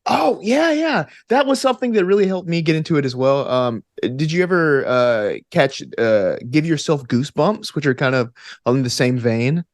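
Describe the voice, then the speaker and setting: A man's voice: on the high side, expressive, moderate pace, dry recording. Playful man, close dry sound. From Alabama, United States. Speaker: podcast guest, actor and gamer. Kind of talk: casual interview chat.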